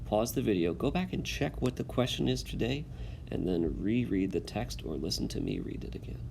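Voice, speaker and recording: Male teacher, low and gravelly, guiding students through a read-aloud over faint background noise. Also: lively intonation. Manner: instructive, pausing to check understanding. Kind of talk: instructional video with read-aloud.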